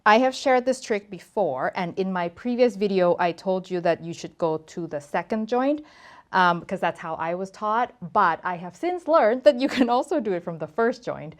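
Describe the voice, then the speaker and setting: Female cooking presenter from Thailand, mid-pitched voice, informal and chatty, playful emphasis, explaining technique to viewers. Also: lively intonation, moderate pace. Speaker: cooking show host. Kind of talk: casual cooking tutorial to camera.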